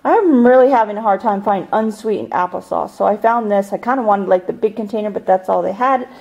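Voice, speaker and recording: A woman's voice: mid-range voice, fairly quick, creaky, darkish tone, low-quality recording. A married woman at home, chatting casually and rambling with many ums as she shows her groceries to a handheld camera. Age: adult, married. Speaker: vlogger showing a grocery haul. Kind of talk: casual chat over a haul. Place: home, indoors at a table of groceries.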